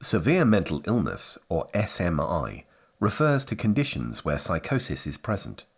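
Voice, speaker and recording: Male narrator, very low pitch, lively intonation, husky edge, measured instructional read. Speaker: training film narrator.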